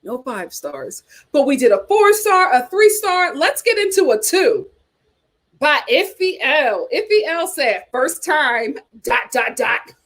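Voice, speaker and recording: A woman's voice: sits high, big pitch swings, takes it slowly, a bit bright. An American woman hosting a casual chat show, reading aloud and commenting with playful, teasing asides. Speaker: host of a reality TV chat show.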